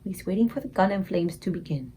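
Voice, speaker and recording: A woman's voice: fairly low pitch, lively intonation, fairly quick, mellow, a little hiss or hum, natural voice, poor audio. A woman voicing a kindly old male giant who whispers in quaint, broken grammar. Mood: hushed.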